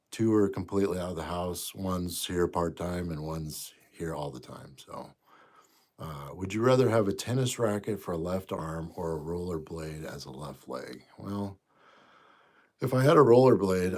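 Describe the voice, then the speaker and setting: Man in his fifties, very low voice, stuffy nose, relaxed rambling livestream chat. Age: 50s. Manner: easygoing, rambling, trails off quietly. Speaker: livestream host. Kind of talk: casual livestream chat reading comments.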